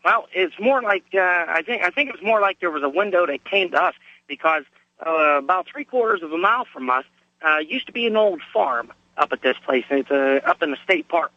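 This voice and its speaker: A hoarse man from Pennsylvania calling a radio show, telling a story in a plain, halting way. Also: on the high side, expressive, pushed and bright, no echo. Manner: plain, halting, many fillers. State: hoarse from a cold. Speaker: caller. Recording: dry muffled phone line.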